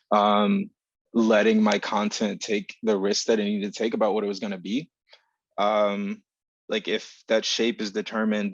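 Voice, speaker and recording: Male writer on a panel, gravelly and flat in pitch, deliberate, searching delivery, muffled video-call sound. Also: no echo. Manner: deliberate, halting, searching for precise words. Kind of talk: reflective panel talk about craft. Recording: dull video-call audio.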